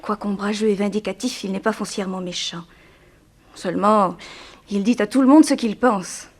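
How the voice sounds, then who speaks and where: An actress playing a composed, frank but guarded young bereaved daughter in a 1960s French radio drama, with measured theatrical diction. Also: female voice, mid-range voice, close to an everyday voice. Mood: earnest.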